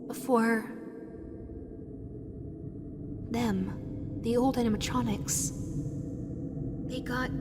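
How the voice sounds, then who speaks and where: A woman voicing a sweet, teasing female animatronic fox made for children, in an intimate roleplay audio addressed to the listener. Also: a little high. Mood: uneasy, wistful. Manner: playful cooing, drifting into wistful musing. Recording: long reverberant hall echo. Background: music.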